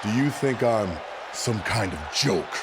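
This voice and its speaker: An actor playing an arrogant, menacing wrestling champion who delivers boastful, deliberate threats in a video game promo. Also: male voice, on the low side, natural voice. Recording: noisy arena with crowd behind.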